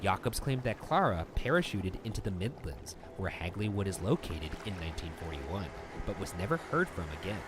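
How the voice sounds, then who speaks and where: A man reading a scripted mystery narration in a measured, storytelling delivery. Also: low voice, sing-song, background noise. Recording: narration over background music.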